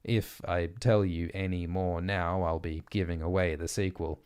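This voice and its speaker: A man reading essays and letters aloud as an audiobook narrator, in a measured, deliberate and articulate way. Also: sits low, flat delivery, darkish tone, clear, resonant tone. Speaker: audiobook reader.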